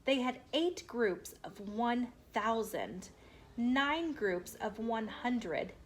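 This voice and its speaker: A woman hosting storytime, reading a children's book aloud in a measured, explanatory way. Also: on the high side, expressive, slight background noise. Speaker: storytime host.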